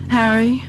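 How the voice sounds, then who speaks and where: An actress playing a troubled, fearful wife in a radio mystery drama, hesitant and searching for words. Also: female voice. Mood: uneasy, wistful. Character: troubled wife fearing she and her husband are dead. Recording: radio drama with car noise behind.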